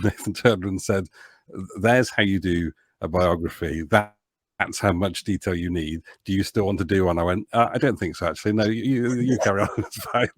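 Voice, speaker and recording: A man's voice: low-pitched, dry recording, clean studio sound. A man speaking as a podcast guest in casual interview talk, earnest and often circling back over his words. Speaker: podcast guest, audio drama producer.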